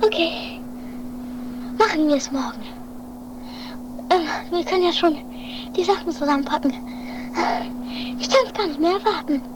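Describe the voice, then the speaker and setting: A woman plays a childlike, stubborn daredevil with a piping, extremely high voice. The figure is eager and whiny, insisting over and over like someone who will not be refused. It sounds like an improvised eighties radio play, with clear noise on the recording. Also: lively intonation, normal speed, pauses often. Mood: excited, breathless. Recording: noisy amateur 1980s radio play recording.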